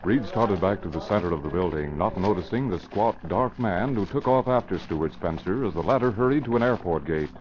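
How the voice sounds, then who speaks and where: A man's voice: deep voice, lively intonation, brisk, few pauses. A man narrating an old-time radio drama in a dramatic scripted style. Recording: noisy muffled vintage radio recording.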